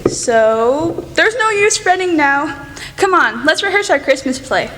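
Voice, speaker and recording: A woman's voice: sits high, very animated, unhurried, a bit bright, exaggerated voice. A young woman playing a bold, headstrong teenage tomboy sister in a radio play, with emphatic, theatrical delivery. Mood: bossy, eager. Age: teens or young adult. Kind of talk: student radio play acting. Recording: reverberant hall, clear background noise.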